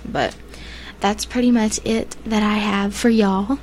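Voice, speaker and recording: A woman's voice: medium pitch, steady tone, slow, a little mellow. A young woman, co-hosting a podcast and telling ghost stories in a rambling way, full of fillers. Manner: rambling, filler-heavy, giggly. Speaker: podcast co-host. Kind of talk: casual ghost-story chat.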